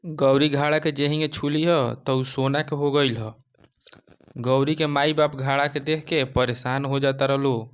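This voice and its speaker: A man's voice: fairly high pitch, clear, resonant tone. Male narrator for a moral tale, Bhojpuri accent, clean resonant mid-range voice. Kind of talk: scripted moral-story narration.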